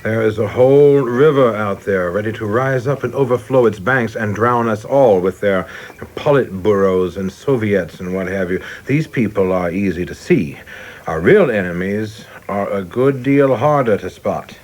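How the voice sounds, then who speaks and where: A man's voice: on the low side, moderate pace, a little mellow, lightly acted. An actor playing an ambitious, rigid young male government official in a radio play, speaking formally, precisely and earnestly. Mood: dutiful, intense.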